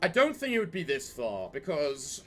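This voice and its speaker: Man, let's play host, hoarse from yelling, high and animated, prone to sweary rants. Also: moderate pace, faint music behind. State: strained voice from yelling. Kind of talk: gaming commentary.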